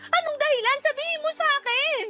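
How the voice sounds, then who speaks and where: A woman acts out a desperate, abandoned mother in a radio drama. Her voice is extremely high and piercingly bright, swinging between fury and tearful pleading, with music underneath. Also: quick pace. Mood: shocked, confronting. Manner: pleading, emotional outbursts. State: crying. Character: desperate, furious woman abandoned with her young child by her partner. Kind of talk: Tagalog radio drama acting.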